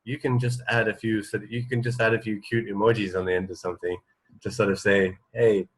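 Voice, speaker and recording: A man with a low-pitched voice chatting casually as a radio co-host. He feels his way through sentences, repeating words and checking in with small questions. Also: flat delivery, a little fast, slightly rough. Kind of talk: casual chat.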